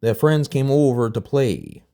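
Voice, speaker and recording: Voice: mid-range voice, unhurried, mellow. A storybook narrator reading aloud informally, now and then stumbling over a word and rereading it. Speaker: storybook reader.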